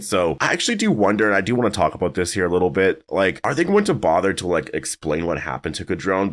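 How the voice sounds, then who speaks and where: A man commentating casually on a video channel, thinking aloud with many fillers, his voice raspy and a bit strained. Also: sits low. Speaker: YouTube commentator.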